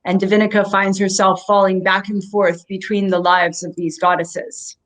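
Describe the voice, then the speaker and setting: A woman's voice: mid-range voice, little pitch movement, moderate pace. A woman who grew up in Japan, a comic writer speaking in an interview, thoughtful and explaining with frequent ums. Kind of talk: casual interview.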